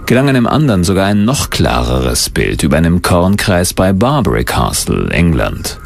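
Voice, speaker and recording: A man's voice: sits low, very animated, moderate pace, creaky, soft background music. A man narrating a scripted documentary.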